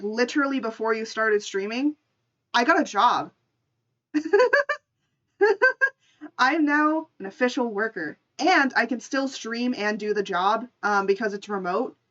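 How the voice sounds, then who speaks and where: A woman's voice: fairly high pitch, fairly bright tone, lo-fi sound. A woman streamer casually telling an improvised story and chatting with her live audience in an informal, chatty way. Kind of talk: casual livestream storytelling and chat.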